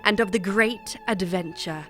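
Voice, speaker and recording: A woman's voice: mid-range voice, measured pace, close to an everyday voice. A woman playing a brave, devoted young wife, narrating a gothic audio drama in a formal, earnest manner. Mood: solemn narration. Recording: music behind the voice.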